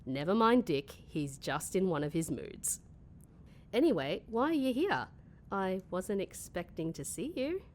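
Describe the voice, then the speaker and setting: A woman's voice: lowish voice, sing-song, measured pace, slight background noise. A woman playing a flustered office worker hiding a crush in a comedy audio drama. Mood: breezy. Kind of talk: mockumentary-style comedy audio drama, talking to camera.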